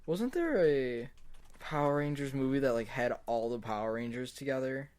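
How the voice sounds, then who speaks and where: A man's voice: medium pitch, lively intonation, moderate pace, darkish tone, smooth. A man co-hosting a podcast in casual chat, laid-back and brief in what he says. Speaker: podcast co-host.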